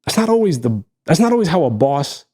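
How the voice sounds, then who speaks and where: A man's voice: fairly high pitch, big pitch swings, a little fast, darkish tone. A man from Egypt whose first language is Arabic, co-hosting a podcast in a reflective casual chat and repeating points for emphasis. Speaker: podcast co-host.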